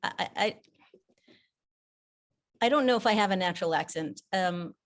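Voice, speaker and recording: A woman's voice: medium pitch, normal speed. A woman whose first language is French, speaking English with an American-sounding accent, chatting in an interview about her voice-acting work with frequent restarts. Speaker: guest, voice actor and theater producer. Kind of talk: conversational interview.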